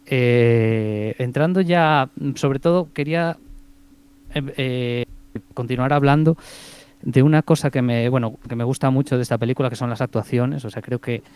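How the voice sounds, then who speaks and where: Smooth-voiced hesitant man reviewing a film. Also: mid-range voice, normal speed, a little mellow. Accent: Spain Spanish. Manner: hesitant, many fillers and restarts. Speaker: film podcast co-host. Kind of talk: casual film review chat.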